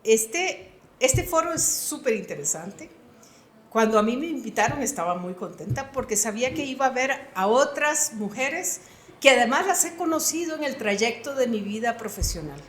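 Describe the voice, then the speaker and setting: A woman's voice: a little high, lively intonation, normal speed, fairly bright tone, echoey room, slight background noise. Earnest Costa Rican woman in her sixties. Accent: Costa Rican Spanish. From Costa Rica. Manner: earnest, emphatic storytelling. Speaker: guest, retired computing professor. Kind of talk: interview answers.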